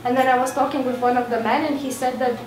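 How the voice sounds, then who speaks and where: A woman's voice: sits high, quick pace. Young woman teacher, far off in a hall. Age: young adult. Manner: plain, steady explaining with fillers. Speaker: student teacher presenting. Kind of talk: informal panel talk. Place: dim hall with seated audience. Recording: roomy far-off hall recording with music.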